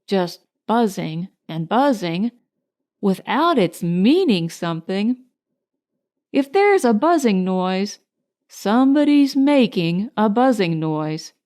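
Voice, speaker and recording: A woman's voice: medium pitch, a little mellow. A woman voicing a simple, honey-loving male bear in a storybook, musing earnestly and ponderously.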